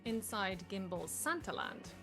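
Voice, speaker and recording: A woman's voice: mid-range voice, lively intonation, unhurried, bright, forceful tone, faint music behind. A woman reading scripted stage directions as narrator at a comedic live table read.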